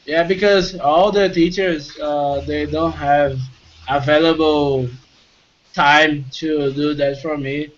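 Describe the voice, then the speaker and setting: A Brazilian man with a Portuguese accent, a student on a video call who speaks English slowly and hesitantly, with many fillers. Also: fairly high pitch, fairly even intonation. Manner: slow, hesitant, filler-heavy. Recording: dull call audio with faint noise.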